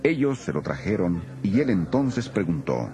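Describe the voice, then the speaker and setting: A man narrating scripture from a script in a solemn, expressive way. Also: low-pitched, moderate pace, faint music behind.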